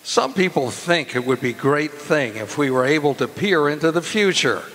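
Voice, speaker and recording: A man announcing in old-time radio style, reading scripted narration and sponsor copy in a formal pitchman manner. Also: lively intonation, moderate pace. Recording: loud music under the voice. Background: loud music.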